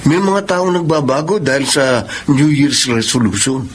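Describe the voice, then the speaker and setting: A man's voice: medium pitch, animated, moderate pace, a little hiss or hum, lightly acted. A man with a Filipino accent playing a candid, chummy, opinionated friend in a radio drama. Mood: amused surprise. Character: candid, teasing male buddy.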